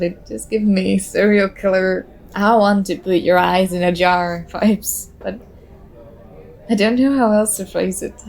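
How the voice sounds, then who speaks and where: A woman acting as a shy young stranger in an intimate ASMR roleplay. She is nervous and self-conscious, rambling in hesitant, overthinking turns. Her mid-pitched voice often drops into a crackly fry. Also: a little mellow. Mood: tentative, flattering, then giggly, encouraged.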